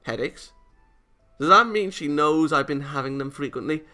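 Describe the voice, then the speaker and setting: A man hosting a game playthrough, reading a visual novel aloud and breaking into animated, exasperated commentary. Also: fairly high pitch, moderate pace, faint music behind. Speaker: let's play host.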